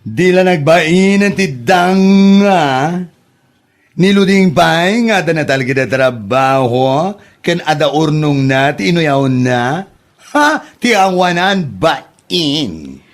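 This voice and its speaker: A man acting an indignant, sharp-tongued gossiping farmer in an Ilocano comedy drama, with an Ilocano accent and a scolding, exclamatory manner. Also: fairly high pitch, animated, takes it slowly. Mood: scornful gossiping.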